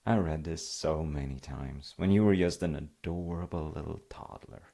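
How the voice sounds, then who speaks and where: A man playing an affectionate dad in a bedtime audio roleplay, speaking softly and hesitantly as he soothes his child. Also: rumbling low, steady tone, moderate pace, vocal fry, heavily acted. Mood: tender, nostalgic. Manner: soft, hesitant, murmuring.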